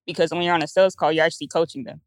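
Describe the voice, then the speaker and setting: A young woman in sales talks quickly in a lowish voice, full of fillers and restarts. Her speech is recorded dry and close, with no room echo. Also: fairly even intonation. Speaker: sales coach. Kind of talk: casual panel chat.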